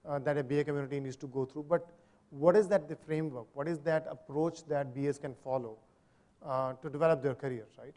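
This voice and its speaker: A man's voice: a little high, dark tone. A man in his fifties moderating a conference panel in a large hotel hall, summarizing points in a structured way and checking in with the audience.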